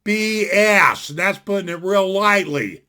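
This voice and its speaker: A man's voice: sits high, unhurried, bright, forceful tone, clear, resonant tone. A man in his sixties talking casually from his home into a desktop mic, giving a blunt, folksy, opinionated rant that rambles and restarts often. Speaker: vlogger. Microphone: desktop computer mic.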